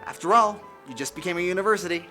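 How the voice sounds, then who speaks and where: A performer voicing a personified university in a jokey ad skit, chummy and quick. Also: mid-range voice, lively intonation, sharp tone, soft background music. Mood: smug.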